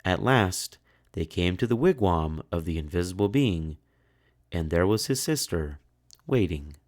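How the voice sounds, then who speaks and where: A man with a low voice tells a children's story aloud. He takes his time, pausing dramatically, and his pitch moves expressively. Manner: measured, expressive, with pauses for suspense. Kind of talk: dramatic picture-book read-aloud.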